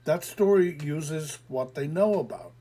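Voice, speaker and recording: A man reading an audio story, voicing a calm, skeptical grown man who patiently explains things away in a soothing, lecturing way. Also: on the high side, unhurried. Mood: patiently explaining.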